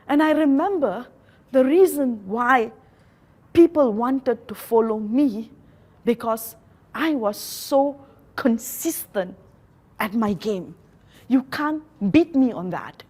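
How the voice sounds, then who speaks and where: Slow, earnest high-voiced Malaysian woman. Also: lively intonation. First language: a Malaysian local language. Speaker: motivational speaker. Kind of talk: motivational talk.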